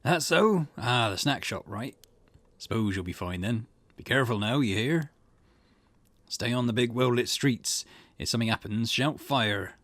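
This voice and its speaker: A man voicing a gruff but caring school janitor in a visual novel game, with a folksy, paternal, grumbling manner. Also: mid-range voice, animated, normal speed, fairly bright tone, no echo, voice pushed down, natural voice.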